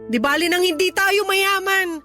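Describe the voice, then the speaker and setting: High-pitched, emotional remorseful mother. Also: moderate pace, bright, forceful tone, faint music behind. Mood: resolved, affectionate. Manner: emotional, pleading. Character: remorseful, affectionate middle-aged mother, former compulsive shopper. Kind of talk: Tagalog radio drama acting.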